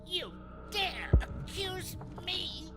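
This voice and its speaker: A woman voicing an arrogant, paranoid villain in an animated game, haughty, theatrical and scornful. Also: very high-pitched, extremely slow, exaggerated voice. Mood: outraged, scornful. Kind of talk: animated game cutscene acting. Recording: loud cinematic music under dialogue.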